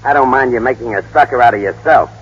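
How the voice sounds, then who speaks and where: An actor playing a gruff, cynical fixer who talks in slang, in 1930s radio style. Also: male voice, mid-range voice, fast, natural voice. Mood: accusing, scornful. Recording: muffled, reverberant old 1930s radio recording.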